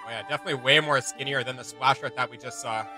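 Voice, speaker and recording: A man's voice: medium pitch, steady tone, racing, music in the background. A man, giving rambling, jokey commentary as host of a casual reaction video. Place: small home room with shelves, indoors.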